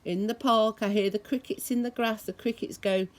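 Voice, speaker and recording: A woman reading a children's story aloud in a deliberate, expressive way, imitating the sounds it describes. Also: a little high. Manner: deliberate, acts out noises expressively.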